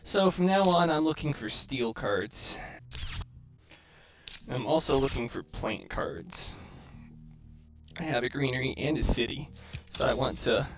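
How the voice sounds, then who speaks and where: A man casually commentates on his own game, reasoning through each choice as he goes. His voice has a robotic, glitchy microphone distortion and a gravelly, fried edge. Faint music plays behind him. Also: medium pitch, a little slow, a bit bright. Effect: robotic-sounding microphone distortion. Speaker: gamer commentating own match.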